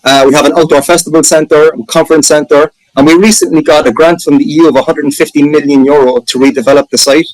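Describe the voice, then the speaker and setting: A man's voice: fairly high pitch, steady tone, quick pace, hardly any pauses, no room sound, poor audio. A man with an Irish accent, presenting his sailing resort in a chatty, enthusiastic way and often correcting himself. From Ireland. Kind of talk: casual presentation on a video call.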